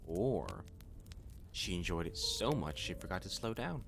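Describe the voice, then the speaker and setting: A man as a low-voiced, playfully doting catboy waiter. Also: very animated, unhurried, gravelly, music in the background, exaggerated voice. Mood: tender, pleased.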